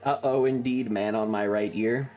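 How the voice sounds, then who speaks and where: A man streamer talking casually and rambling through his material, halting often with stretched pauses. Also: fairly low pitch, steady tone, a little mellow, soft background music. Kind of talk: casual livestream chat over trip photos and videos.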